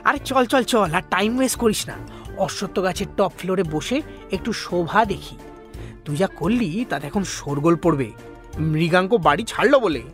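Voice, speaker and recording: A man's voice: sits high, expressive, normal speed, soft background music. An actor, a man, playing a teasing young ghost in a comic audio drama, chatty and mocking. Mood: cajoling, amused. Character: cheeky, teasing young male ghost buddy.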